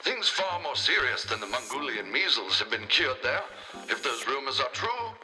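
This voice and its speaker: A man playing a tiny, ancient, courteous alien doctor with a formal, measured way of explaining, over the radio in a children's space drama. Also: mid-range voice, very animated, over loud music, poor audio. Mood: helpful, concerned.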